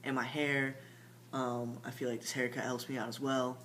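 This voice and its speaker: A man's voice: mid-range voice, little pitch movement, moderate pace, fairly bright tone. A young man talking through a casual late-night video diary, rambling with lots of fillers and sometimes dropping to a mumble. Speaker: vlogger. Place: home at night. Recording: faint hum behind the voice.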